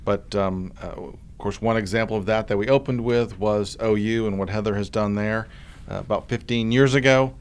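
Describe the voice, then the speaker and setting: A man hosting a podcast interview, talking in a relaxed way with many uh and um fillers. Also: fairly low pitch, moderate pace, a little hiss or hum. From Oklahoma. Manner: relaxed, filler-heavy delivery with frequent uh and um. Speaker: podcast host, insurance commissioner.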